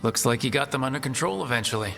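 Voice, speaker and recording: An actor playing a steady, encouraging leader of a band of heroes in a video game. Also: male voice, medium pitch, rapid-fire, creaky, over music. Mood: light, friendly.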